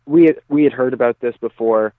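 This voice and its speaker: A man's voice: mid-range voice, a little fast, dry recording. A man chatting as an interview guest over the phone, choosing his words thoughtfully. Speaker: musician guest. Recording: tinny narrow phone line.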